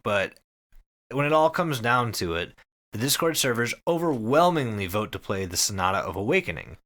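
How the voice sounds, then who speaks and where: A man hosting a podcast, telling a story casually to friends in an excited, conversational way with some swearing. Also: mid-range voice, moderate pace. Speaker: podcast host.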